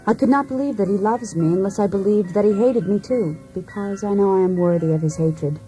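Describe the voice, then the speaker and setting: An actress playing a disillusioned wife in a poetic radio drama, speaking in a measured, introspective, theatrical manner. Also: female voice, mid-range voice, dark tone, natural voice, low-quality recording. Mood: cool, confessional. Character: disillusioned, cool, self-searching wife in a failed marriage. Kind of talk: poetic monologue in a radio play. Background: music.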